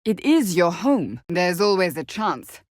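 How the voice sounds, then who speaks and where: Game role: stern, pious warrior woman, lowish pitch, deliberate formal speech with blunt authority, very dry studio sound. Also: big pitch swings, dry recording. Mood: serious, measured. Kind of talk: video game voice acting, dramatic dialogue.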